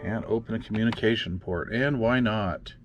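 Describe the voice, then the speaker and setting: A man casually walking through radio software in a makeshift home studio, often trailing off and muttering to himself as he tinkers. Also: on the low side, normal speed, over loud music. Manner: muttering to himself while fiddling with gear, many fillers. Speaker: radio hobby video host. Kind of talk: casual hands-on demonstration.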